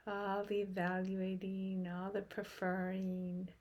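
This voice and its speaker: Very slow, hushed woman guiding meditation. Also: mid-range voice, completely flat delivery. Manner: gentle, hushed, with long pauses between short phrases. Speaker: meditation guide. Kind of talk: guided meditation.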